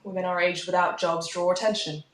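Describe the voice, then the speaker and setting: A woman's voice: fairly low pitch, little pitch movement, fairly quick, lightly acted. A woman reading the part of a composed, dutiful young woman, with dry, level delivery. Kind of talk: script read-aloud on a live audio chat. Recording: roomy with faint background noise.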